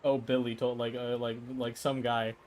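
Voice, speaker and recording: A man's voice: medium pitch, flat delivery, normal speed, darkish tone. A man hosting a live game stream, talking in a casual, rambling, slangy way. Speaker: livestream host, gamer. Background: game music.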